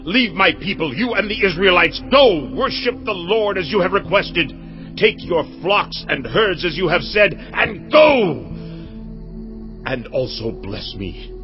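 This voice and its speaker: An actor playing a frantic Egyptian king who shouts urgent orders in a dramatized scripture reading. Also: high voice, big pitch swings, a little slow, full character voice. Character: frantic, commanding Egyptian king, grown man. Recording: dull audio over music.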